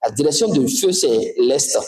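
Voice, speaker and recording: A man from Togo teaching a spiritual class in an animated, emphatic way, often putting questions to his listeners. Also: mid-range voice, measured pace. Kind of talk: lecture to a class. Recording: very echoey room.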